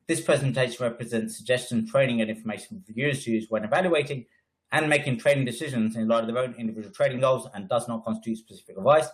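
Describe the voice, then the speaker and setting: A man's voice: medium pitch, fast, rough recording. A man with a head cold, presenting a trading webinar in an emphatic, coaching way, often tagging lines with "right?". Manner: emphatic, frequent "right?" tags. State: congested with a cold. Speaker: trading educator.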